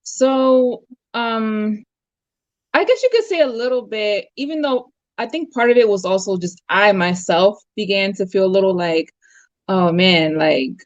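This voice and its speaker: A woman's voice: mid-range voice, lively intonation, measured pace, dry recording, lo-fi sound. A young adult woman from the U.S. talking casually as a guest on a video call, thoughtful and full of fillers. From United States. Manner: reflective, many fillers and restarts. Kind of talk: casual conversation.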